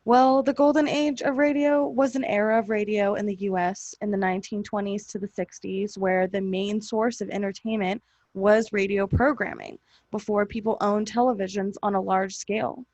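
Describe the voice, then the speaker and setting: A woman's voice: mid-range voice, fairly even intonation, normal speed, a little mellow, no room sound, muffled recording. A woman podcast host reading a scripted introduction in a casual, irreverent way.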